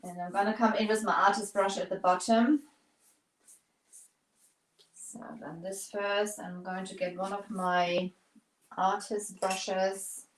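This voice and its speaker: A woman's voice: mid-range voice, steady tone, slow pace, long pauses, echoey room, poor audio. German woman chatting through a paint demo. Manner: searches for words, restarts often, chats to viewers. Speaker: painting livestream host. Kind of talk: casual live painting demo.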